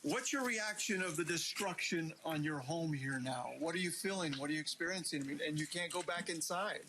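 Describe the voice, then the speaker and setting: High-voiced male field reporter in noisy surroundings. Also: fairly quick. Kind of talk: live ad-libbed on-scene news reporting. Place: outdoors, walking along a street. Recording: noisy live outdoor field broadcast.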